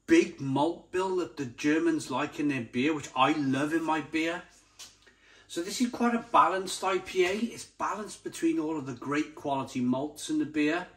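A man's voice: on the high side, low-quality recording. Enthusiastic man giving a casual tasting review. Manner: enthusiastic, savouring, repeats and dwells on descriptive words. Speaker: beer review channel host.

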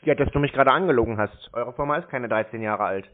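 A man's voice: mid-range voice, fast. A man in a confrontational phone conversation, blunt and insistent, repeating himself for emphasis. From Germany. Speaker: scam baiter confronting a phone scammer. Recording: narrow tinny phone-like sound.

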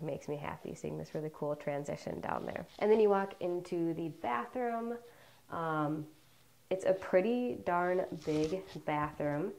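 A woman's voice: lowish voice, darkish tone. A young woman with a congested, slightly sick voice, chatting bubbly and casually through a tour of her home, often laughing and saying "like" and "um", recorded indoors on a lapel mic. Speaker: home vlogger. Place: indoors, home basement rooms.

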